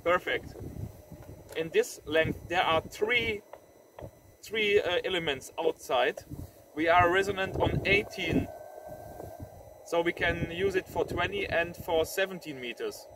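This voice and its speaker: A man in his thirties doing a casual outdoor radio demonstration, repeating short phrases clearly. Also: on the high side, takes it slowly, pauses often. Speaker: amateur radio operator presenting his antenna. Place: outdoors on a windy farm road. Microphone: camera a few steps away. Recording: distant mic with wind and outdoor noise.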